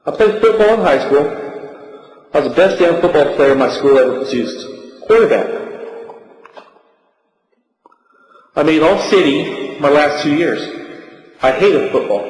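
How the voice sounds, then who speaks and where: A man voicing a bitter, boastful castaway surgeon, narrating his diary in a wry, colloquial way. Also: a little high. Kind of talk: dramatic first-person diary narration. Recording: echoey room with long reverb.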